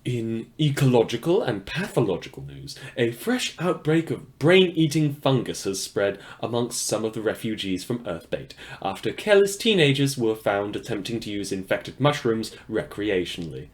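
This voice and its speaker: A man's voice: on the low side, very animated, moderate pace, few pauses. A man playing a wry, awkward, self-deprecating small-time radio news host in a fiction podcast set after the apocalypse. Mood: theatrical, sardonic. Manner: rambling, self-deprecating, dryly sardonic.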